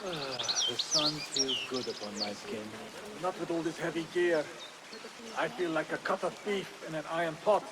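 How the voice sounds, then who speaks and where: An actor playing a grumbling, world-weary soldier on guard in a video game. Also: male voice, fairly high pitch, moderate pace, very noisy, close to an everyday voice.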